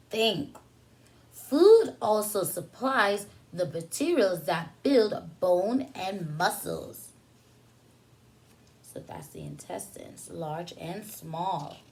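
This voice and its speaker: A woman preschool teacher reading a picture book aloud to young children, pausing for simple explanations and questions addressed to them. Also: mid-range voice, very animated, rough recording.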